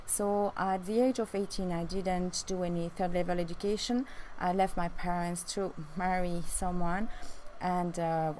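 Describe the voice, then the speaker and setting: Woman with a French accent, lowish voice. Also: darkish tone, slight background noise. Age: 40s. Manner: halting, many fillers and restarts. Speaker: vlogger. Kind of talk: casual personal story to camera. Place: outdoors on a rooftop.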